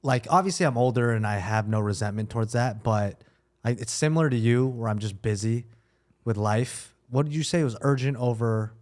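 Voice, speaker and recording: A man's voice: medium pitch, brisk, darkish tone. A man chatting casually about personal matters, thinking aloud in informal language and correcting himself as he goes.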